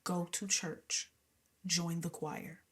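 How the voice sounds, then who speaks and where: A woman's voice: on the low side, steady tone, slow, fairly bright tone. A young adult woman singer, a guest in an interview, talking casually and with animation, laughing often and sometimes dropping to a whisper.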